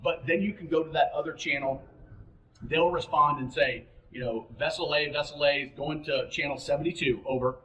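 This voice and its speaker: A man's voice: on the high side, moderate pace, fairly bright tone, reverberant, highs cut off. A man giving informal, practical boating instruction, speaking plainly and directly to the listener as an instructor.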